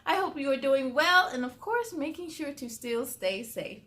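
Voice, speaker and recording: A woman's voice: sits high, lively intonation, normal speed, fairly bright tone. A woman hosting a children's story time, reading aloud expressively with encouraging, chatty asides to young viewers. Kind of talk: animated children's read-aloud.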